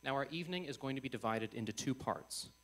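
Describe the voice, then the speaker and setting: A man's voice: mid-range voice, lively intonation, quick pace, fairly bright tone. Young man, event host, formal and emphatic stage introduction on a microphone. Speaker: host of a student election debate. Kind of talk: formal speech introducing a debate. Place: on stage before a live audience.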